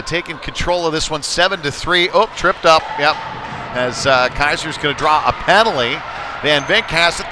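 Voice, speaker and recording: A man doing live play-by-play of a youth hockey game in a conversational manner that rises to excitement with the play. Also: medium pitch. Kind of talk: live sports commentary with casual asides. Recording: clear noise of a live sports venue.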